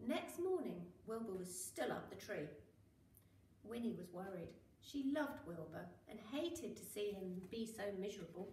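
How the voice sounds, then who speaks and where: A woman reading a children's story aloud in a dramatic, expressive way, with drawn-out emphasis on key words. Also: medium pitch. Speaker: school teacher reading to children. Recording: roomy, echoing, noisy, distant mic.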